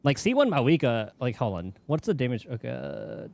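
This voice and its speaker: Animated man chatting on a game stream. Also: medium pitch, a little fast, gravelly, no echo. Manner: rambling, thinking aloud, mild swearing. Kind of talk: casual livestream chat while gaming.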